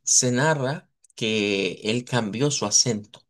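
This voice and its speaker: A man teaching a live online language class. He explains at length, models sounds slowly and often checks in with his students. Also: mid-range voice, a bit bright. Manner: checks in with students, asks "verdad".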